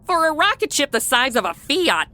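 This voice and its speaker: A woman's voice: high voice, very animated, normal speed, pushed and bright, no room sound, exaggerated voice. A woman playing a sarcastic, wisecracking modern young woman in a comedy audio drama. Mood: snarky. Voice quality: snarky, wisecracking.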